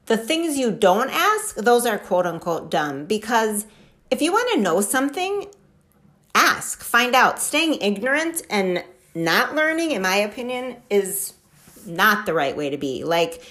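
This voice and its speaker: A woman hosts her own podcast, talking in a casual, enthusiastic way. Her pitch is very animated and leaps around as she makes her points, and she keeps checking in with "right?". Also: unhurried. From Wisconsin. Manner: chatty, enthusiastic, lots of fillers like "right?" and "like". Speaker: podcast host. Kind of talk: casual motivational talk.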